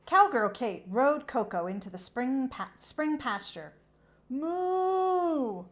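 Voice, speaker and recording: A woman who hosts children's read-alouds, narrating a storybook expressively in a measured, animated way. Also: a little high.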